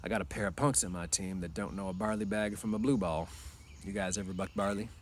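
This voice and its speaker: A calm, dignified mule driver, read by a man, his low voice gentle and unhurried. He speaks quietly, with quiet authority in plain ranch talk. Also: slight background noise, close to an everyday voice.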